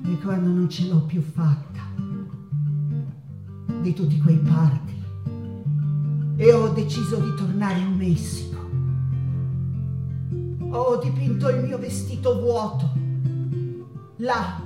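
A woman's voice: mid-range voice, natural voice. An actress playing a spirited, irreverent Mexican woman painter, delivering a dramatic, mocking monologue on stage. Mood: solemn. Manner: dramatic, mocking, drawn-out exclamations with bursts of laughter. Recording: roomy, distant stage recording with guitar. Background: acoustic guitar music.

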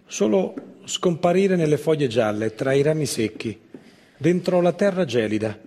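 A man's voice: on the high side, animated, moderate pace, dark tone, a little hiss or hum. A man narrating a theatre piece as a dramatic literary reading. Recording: voice over accordion music.

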